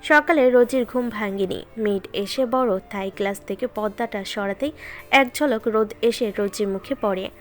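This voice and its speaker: A woman's voice: fairly high pitch, normal speed. A woman giving scripted narration of a romantic story. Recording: music behind the voice.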